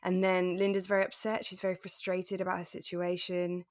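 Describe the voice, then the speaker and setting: A woman with a fairly low voice talks casually about a radio drama as a co-host. The sound is dry, with very little room around her. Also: completely flat delivery, brisk, dry recording. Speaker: podcast co-host.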